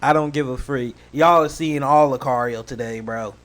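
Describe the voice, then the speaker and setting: A man's voice: mid-range voice, normal speed, fairly bright tone. A man doing casual reaction commentary as a host, slangy and joking, drifting into rambling asides.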